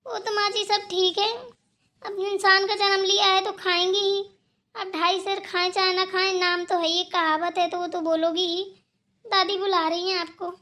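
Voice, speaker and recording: A woman's voice: extremely high pitch, flat delivery, moderate pace, pushed and bright. A woman voicing a cheeky young daughter-in-law in a Hindi family cartoon, matter-of-fact and ready to answer back.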